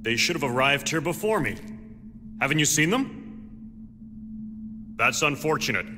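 A man's voice: on the high side, very animated, a little slow, sharp tone, slight background noise, exaggerated voice. A man with an American accent playing a stern, curt police team superior in a video game cutscene. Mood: measured, businesslike. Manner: curt, authoritative. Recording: long reverb, music behind.

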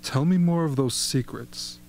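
A man's voice: mid-range voice, unhurried, darkish tone, a little hiss or hum, close to an everyday voice. A man acting in a radio play as a courteous, calculating propaganda chief. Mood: measured, diplomatic.

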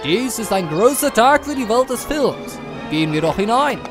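Formal, theatrical pony director played by an actor, swooping intonation, soft music underneath. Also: mid-range voice, big pitch swings, moderate pace, natural voice. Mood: grave, formal.

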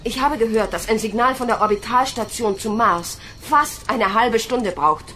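A woman's voice: on the high side, normal speed, pushed and bright, a little hiss or hum. A woman playing a stubborn but insecure young female recruit in a German science fiction radio drama. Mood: pleading, hesitant.

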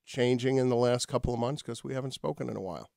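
Gravelly man hosting radio, dry studio sound. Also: medium pitch, fast talker, darkish tone, no room sound. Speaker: radio host. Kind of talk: conversational radio interviewing. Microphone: studio microphone.

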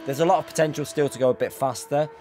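A man's voice: on the high side, quick pace, background noise. A man with a cold who is losing his voice, explaining things step by step as host of a racing game tutorial. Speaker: sim racing YouTuber, host. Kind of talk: tutorial commentary over racing game footage.

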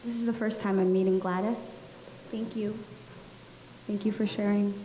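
A young woman from Somalia, speaking on a panel into a handheld microphone, telling her story slowly with many pauses and fillers. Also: medium pitch, steady tone. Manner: slow, many ums, soft laughs. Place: large room at a public panel event. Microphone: handheld mic. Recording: echoey hall with faint hiss.

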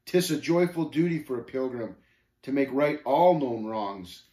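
A man's voice: medium pitch, a little slow, warm tone, lightly acted. A man voicing an earnest, indignant pilgrim in a story, firmly protesting in archaic speech. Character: earnest, indignant male pilgrim defending his faith. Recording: roomy, echoing voice recording.

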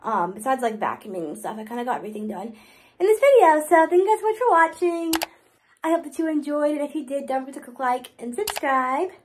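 A young woman chats casually as she films herself at home, upbeat and full of fillers. Her voice sits high and she talks quickly, recorded on a nearby phone in her bedroom. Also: slight background noise. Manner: chatty, filler-heavy, upbeat. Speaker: vlogger. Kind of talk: casual cleaning vlog chat.

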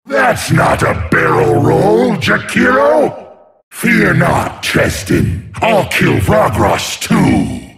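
An actor voicing a monstrous tyrant of the deep sea in a video game, with a gravelly voice and a theatrical, taunting, imperious delivery. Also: male voice, huge pitch swings, extremely slow, a bit bright, raised voice, soft background music, heavily acted. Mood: mocking menace. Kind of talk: video game character voice lines.

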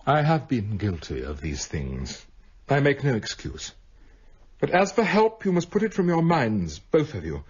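A man's voice: mid-range voice, huge pitch swings, a little slow, darkish tone, breathy edge, muffled recording, full character voice. An actor playing a proud, tormented male detective in a radio drama, with a formal, theatrical, anguished delivery. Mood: anguished despair.

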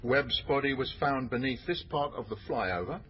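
A man's voice: medium pitch, expressive, normal speed, natural voice. A man playing a businesslike senior police detective in a naturalistic radio drama. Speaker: actor in a crime drama. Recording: dull radio recording, faint noise.

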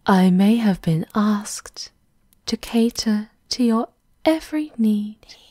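A woman playing a devoted, menacing servant of a vampire countess, formal and softly sinister in an ASMR roleplay, often in a half-whisper. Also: medium pitch, lively intonation, slow pace, dry recording, studio quality. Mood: cold, threatening.

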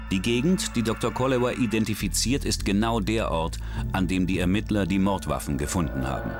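A man narrating a true crime documentary in a measured, dramatic storytelling manner. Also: on the low side. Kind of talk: scripted narration. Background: music.

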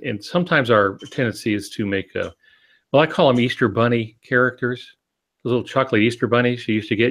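A man's voice: fairly low pitch, a bit bright. A man in his sixties co-hosting a podcast, explaining points from a home office on a video call. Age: 60s. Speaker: podcast co-host. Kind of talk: explanatory podcast talk on writing.

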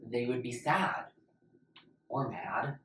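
A woman in her twenties giving an expressive reading from a book. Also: rumbling low, slow pace. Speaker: reader. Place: plain-walled room indoors. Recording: echoey room with background noise.

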